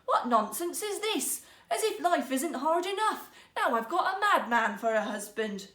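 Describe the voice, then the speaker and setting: A woman voicing a scornful, sharp-tongued, quick-witted wife in a fairytale, with theatrical exclamations. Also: high voice, sing-song, pitched above the performer's own voice. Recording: roomy, echoing sound.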